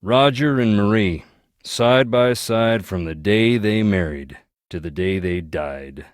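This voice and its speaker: Wry southern ghost hunter guy from a video game, low drawly folksy voice, dry close sound. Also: animated, takes it slowly, no echo. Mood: wistful, reminiscing. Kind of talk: video game character dialogue.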